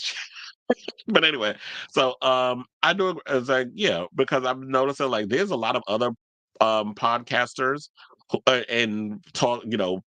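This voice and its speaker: A man's voice: medium pitch, a bit bright, dry recording. A man hosting a celebrity gossip show, talking in a blunt, chatty way, with a stuffy, congested voice. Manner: chatty, blunt, swears freely. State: congested from allergies. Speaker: celebrity gossip podcast host. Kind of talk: casual solo commentary.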